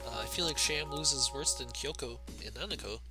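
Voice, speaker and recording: A young man commentates a fighting game tournament on a live stream, his voice soft, low and quiet even at full mic volume. He talks in a relaxed, easygoing way and chuckles often between remarks. Game music plays clearly behind him. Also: normal speed. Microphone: desk mic turned to max. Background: game music playing.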